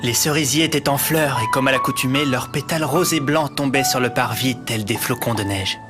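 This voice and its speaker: A man's voice: mid-range voice, a little fast, loud music behind the voice, close to an everyday voice. A young man voicing a polite, smitten student who also narrates, in an amateur cartoon dub. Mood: dreamy. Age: young adult. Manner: polite, earnest.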